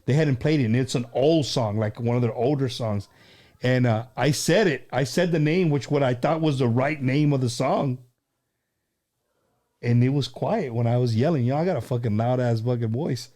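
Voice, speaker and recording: Mellow-voiced man hosting a casual chat. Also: mid-range voice. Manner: halting, repeats words, profane. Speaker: show host.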